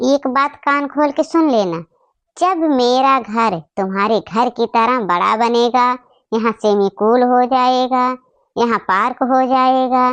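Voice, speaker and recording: A woman acts out an angry cartoon mother at the end of her patience. Her voice is high and dry, scolding with emphatic repetitions. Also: no echo. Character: angry, fed-up mother throwing out her boastful daughter.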